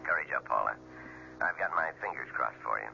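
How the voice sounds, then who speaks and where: A reassuring male office boss heard over a telephone line. His voice sits extremely low and sounds sharp and forceful. The old radio drama recording is dull and lo-fi, with faint noise behind it. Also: lively intonation, fairly quick, creaky, heavily acted. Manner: easygoing, reassuring. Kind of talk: 1970s radio drama acting.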